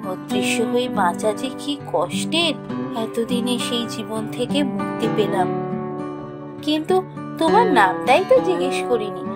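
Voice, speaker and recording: A woman voicing a gentle, earnest young village woman in a fairy-tale cartoon, speaking expressively. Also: on the high side, normal speed, natural voice. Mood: grateful, tender. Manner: expressive, earnest storybook delivery. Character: gentle lonely young village woman, cursed invisible, grateful. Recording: music behind the voice.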